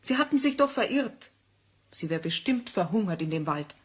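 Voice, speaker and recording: A mother in a children's radio play, speaking in a husky, medium-pitched voice. She tells a fairy tale in simple, instructive phrases meant for a young child. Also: expressive, normal speed. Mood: storytelling, instructive.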